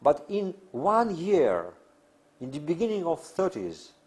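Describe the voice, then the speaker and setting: A man who is a non-native English speaker lecturing on theory in halting, deliberate phrases with emphatic pauses. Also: fairly high pitch, huge pitch swings, darkish tone. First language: not English. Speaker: academic lecturer.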